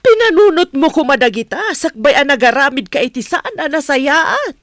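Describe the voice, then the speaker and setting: A woman's voice: high voice, sing-song, normal speed, a bit bright, dry recording, studio quality. A woman with an Ilocano accent playing a stern, indignant mother in a radio drama. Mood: angry, reproachful. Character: stern, indignant middle-aged mother protecting her daughter.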